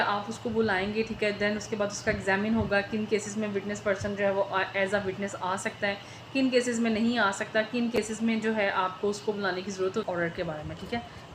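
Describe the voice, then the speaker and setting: A woman's voice: medium pitch, noisy background. A woman with a Pakistani accent giving an informal law lecture, switching between Urdu and English terms as she explains. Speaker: law teacher.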